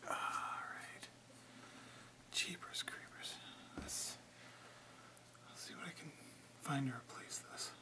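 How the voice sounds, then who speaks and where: A man whispering softly and breathily in an ASMR roleplay, hesitant and muttering to himself as he works as a mechanic. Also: medium pitch. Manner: slow, hesitant, muttering to himself while working. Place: indoor room, close to camera.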